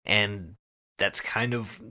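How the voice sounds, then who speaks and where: Bright, forceful man telling a story casually. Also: on the low side, slow pace. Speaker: podcast host summarizing an internet horror story. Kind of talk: casual, sweary podcast storytelling.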